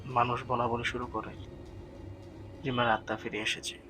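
A man's voice: mid-range voice, little pitch movement, moderate pace, sharp tone, faint music behind. A man narrating a scripted horror story in a dramatic, suspenseful way as the storyteller.

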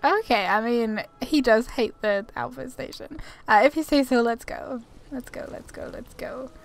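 Female game streamer: casual, muttering commentary, fairly high with noticeable fry, faint music bed. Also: normal speed. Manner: chatty, muttering to herself. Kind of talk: casual gameplay commentary, reading dialogue choices aloud.

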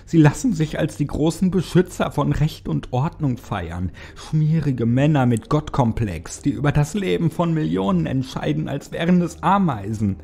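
A man's voice: on the high side, expressive, normal speed, creaky, hardly any pauses, dark tone, husky, pitched above the performer's own voice, exaggerated voice. A man voicing a despairing, drugged Egyptian man in a horror story, bitter, defiant and impassioned. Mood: angry, bitter. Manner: impassioned, raised, bitter delivery.

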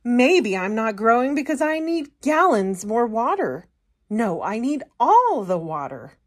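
A woman's voice: a little high, big pitch swings, a little slow, voice pushed up. A woman voicing an eager, dramatic little female houseplant in a picture book, with emphatic exclamations. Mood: complaining.

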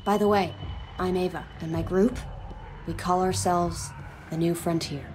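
An actress playing a friendly, tough and easygoing survivor with a wry, folksy manner in a video game. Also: female voice, fairly low pitch, steady tone, unhurried, background noise, natural voice. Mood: rueful. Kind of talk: naturalistic video game acting. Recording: background music under the voice.

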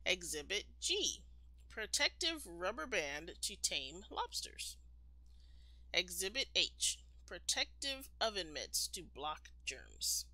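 A woman reading a children's picture book aloud expressively, like a storytime teacher, with deliberate, emphatic phrasing. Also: lowish voice, big pitch swings, sharp tone, no echo, poor audio.

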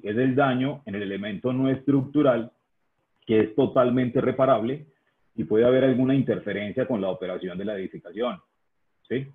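A young man giving a technical webinar presentation, speaking deliberately, with frequent "eh" and "¿sí?" checks. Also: medium pitch. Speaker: engineering presenter at a trade fair webinar. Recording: tinny phone-like line.

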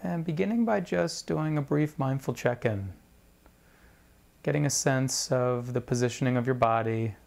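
A man with a mid-pitched voice guides a meditation practice. He speaks like an instructor, in measured, deliberate phrases. He leaves space between one phrase and the next. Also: creaky, a little mellow. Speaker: meditation teacher.

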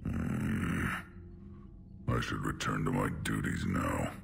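An actor playing a huge, stern, proud alien warlord in a video game, with a gruff growl and blunt delivery. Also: male voice, steady tone, slow pace, background noise, full character voice. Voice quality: deep gravelly growl. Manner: blunt, weighty.

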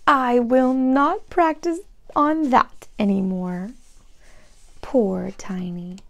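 Soft-spoken woman reading to children. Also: on the high side, slow, vocal fry, dull audio. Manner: gentle, soft asides to children. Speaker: children's book reader. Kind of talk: read-aloud narration.

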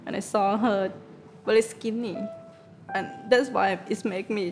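A woman in her thirties from northeastern Thailand, a restaurant owner and chef speaking simple broken English with a Thai accent in a documentary interview, soft and earnest. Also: medium pitch, fairly even intonation, moderate pace, poor audio. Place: indoor restaurant dining room. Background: background music.

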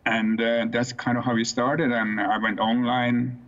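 A man's voice: medium pitch, little pitch movement, unhurried, a bit bright. A man in his sixties from Norway with a Norwegian accent, a guest expert talking unhurriedly with fillers and restarts over a video call from a small home office. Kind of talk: casual interview. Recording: muffled video call audio.

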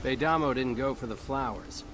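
An actor playing a wisecracking turncoat soldier in a video game, with a casual, wry manner. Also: male voice, medium pitch, normal speed, noisy background, lightly acted. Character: wisecracking human soldier turncoat in alien body. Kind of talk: video game cutscene acting.

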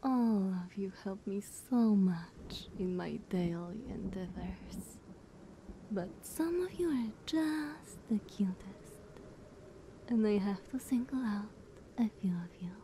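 A woman playing a dominant vampire sister in an ASMR roleplay, speaking softly and breathily with sweet condescension. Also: medium pitch, slow, slight background noise. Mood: sweetly doting. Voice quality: soft, breathy, often whispered.

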